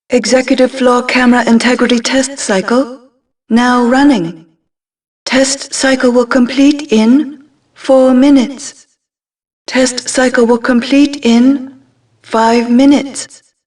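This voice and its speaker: A female building computer in a video game. The voice is high and slow, sounding set away from the microphone. Every announcement is measured, impersonal and impassive. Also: darkish tone, recorded from a distance.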